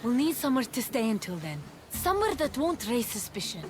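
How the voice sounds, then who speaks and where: A woman's voice: a little high, very animated, a little fast. An actress playing a guarded, wistful young woman on the run in a video game. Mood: wary. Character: guarded, wistful young female fugitive wanderer. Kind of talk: video game cutscene acting. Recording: music behind.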